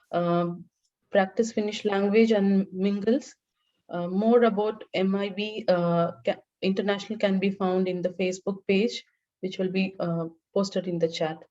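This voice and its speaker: A woman from India co-hosting a webinar, introducing the organisation in a measured way with frequent hesitations. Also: mid-range voice, warm tone, dull audio. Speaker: webinar co-host. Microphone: webinar video call.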